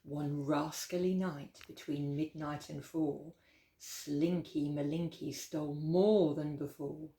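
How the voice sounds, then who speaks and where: A woman reading a rhyming children's picture book aloud as a storytime host, with expressive, dramatic emphasis. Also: low-pitched, slow. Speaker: library storytime reader. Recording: roomy, echoey indoor sound.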